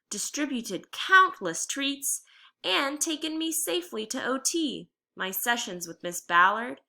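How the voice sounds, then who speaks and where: A woman teacher giving a read-aloud lesson, explaining deliberately and reading expressively. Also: fairly high pitch, gravelly, pushed and bright.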